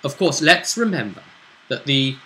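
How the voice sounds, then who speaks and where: A man acting as game master, recapping a tabletop role-play session in a casual, halting storytelling way. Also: medium pitch, animated, bright, forceful tone.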